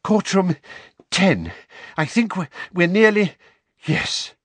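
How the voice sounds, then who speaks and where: A man's voice: on the high side, very animated, a little slow, fairly bright tone, no echo, voice pushed up, exaggerated voice. A man voicing an anxious middle-aged male wizard official who talks urgently and breathlessly, raising his voice in a hurry.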